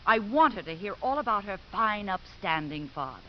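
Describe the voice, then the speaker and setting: An actress playing a cold, vindictive society ex-wife in a 1950s radio drama, clipped, cutting and sarcastic. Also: female voice, medium pitch, big pitch swings, normal speed, close to an everyday voice. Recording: dull, hissy old radio recording.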